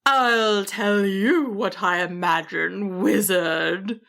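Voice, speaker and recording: A big protective storybook dragon who speaks slowly and loudly, stretching her words. A woman plays her with a gravelly, bright edge. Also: expressive, full character voice. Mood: commanding. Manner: slow, drawn-out and booming. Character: big kind but fearsome female dragon, protective.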